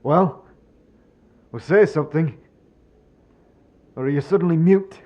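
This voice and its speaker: Casting: male actor as broken, embittered fantasy man, slow delivery, swinging from outburst to mutter, slightly muffled sound. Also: on the high side, mellow. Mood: bitter, agitated. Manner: raving, theatrical, swinging from bitter outburst to muttering. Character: despairing, bitter man raving, ready to die. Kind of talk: audio drama acting.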